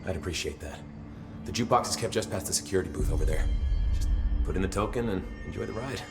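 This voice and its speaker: A weary security officer from a video game, speaking in a low register with clear music behind him. He is wry and chatty, hedging and muttering complaints like someone tired of his job. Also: expressive, fast talker. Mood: wry, explanatory. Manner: casual, hesitant, grumbling, dryly humorous. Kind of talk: naturalistic video game dialogue.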